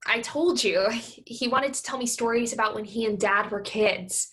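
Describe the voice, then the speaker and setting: Woman doing a fed up grown daughter in a play reading, highish voice, resentful. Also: moderate pace, fairly bright tone, natural voice, poor audio. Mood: fond. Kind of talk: virtual staged reading of a play.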